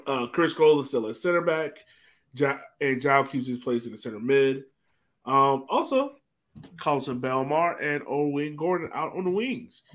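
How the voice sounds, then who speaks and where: A man's voice: mid-range voice, expressive, moderate pace, fairly bright tone. A man in his thirties hosting a casual sports podcast chat over a video call. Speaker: podcast host. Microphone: webcam video call.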